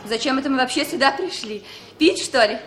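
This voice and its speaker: A woman's voice: high voice, fairly quick, fairly bright tone. A woman acting an impulsive, flighty wife with a capricious manner in a 1960s Soviet radio play. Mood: excited, wilful. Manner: capricious, pleading. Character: impulsive, flighty wife carried away by gambling.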